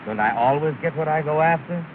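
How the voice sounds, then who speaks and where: An actor playing a smug, scheming man who speaks with a slow, teasing delivery, on an old film soundtrack. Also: on the high side, natural voice. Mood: gloating. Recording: tinny, hissy 1930 film soundtrack.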